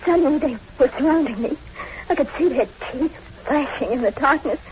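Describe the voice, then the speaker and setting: A woman's voice: sits high, fairly quick, natural voice. A woman playing a young heroine, horrified and distressed, in old radio horror drama acting. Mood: shaken. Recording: dull lo-fi old radio recording, hiss.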